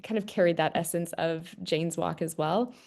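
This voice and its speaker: A woman's voice: fairly low pitch, moderate pace, vocal fry, a little mellow. A young Canadian woman with a Canadian accent, a guest chatting casually on a video call with lots of "kind of" fillers. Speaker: guest panelist. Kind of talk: casual webinar talk.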